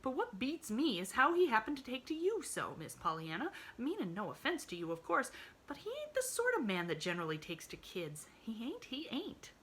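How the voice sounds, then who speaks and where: A woman's voice: on the high side, huge pitch swings, moderate pace, a bit bright, slight background noise, voice pushed up. A woman doing a gushing country housemaid. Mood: eager gossip. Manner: country dialect, gushing and breathless. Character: excitable young rural housemaid, gossipy.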